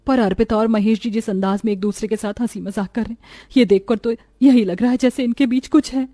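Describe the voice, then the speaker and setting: A woman's voice: fairly high pitch, fairly even intonation, brisk, mellow, no room sound, clean studio sound, close to an everyday voice. A woman voicing a jealous, suspicious young wife in a cartoon family melodrama, speaking in an emphatic, accusing way. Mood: suspicious, anxious.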